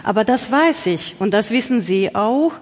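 A woman's voice: medium pitch, lively intonation, normal speed. A woman with a Hungarian accent, a poet answering interview questions in a reflective, searching way, often restarting phrases. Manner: searching, restarts phrases, occasional article slips. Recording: long hall reverb.

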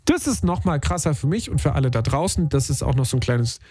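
A man's voice: mid-range voice, lively intonation, fast talker, darkish tone, breathy edge, no room sound. A man hosting a call-in radio show, talking in an upbeat, slangy, chatty way with frequent filler sounds, from a radio studio. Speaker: radio host. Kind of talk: casual call-in radio talk. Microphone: studio microphone.

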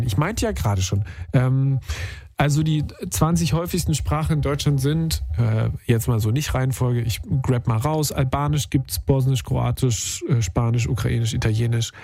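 Casual male radio host, slightly husky. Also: mid-range voice, dark tone. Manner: chatty, informal, youthful slang. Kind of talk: casual live radio talk with slang.

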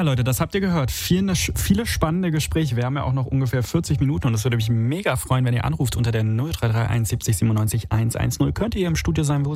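A man's voice: mid-range voice, a little mellow. A young man from Italy hosting a late-night call-in radio show, chatting casually with lots of fillers and youthful slang.

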